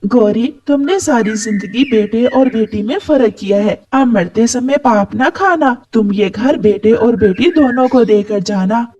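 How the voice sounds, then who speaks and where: A man's voice: very high voice, measured pace, hardly any pauses, darkish tone, highs cut off. A man voicing a wise female sparrow in a cartoon, earnestly admonishing a neighbour. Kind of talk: cartoon moral story voice.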